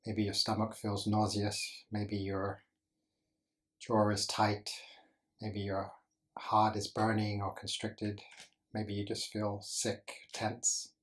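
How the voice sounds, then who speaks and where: A man leading a guided meditation as a teacher, speaking gently in short instructional phrases with deliberate pauses. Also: lowish voice, completely flat delivery.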